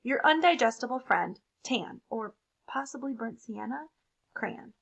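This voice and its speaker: A woman's voice: on the high side, animated, a little slow, gravelly, a bit bright, husky, dull audio, heavily acted. A woman voicing a battered, bitter and confused crayon with an aggrieved, emphatic storybook delivery. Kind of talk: expressive picture-book read-aloud.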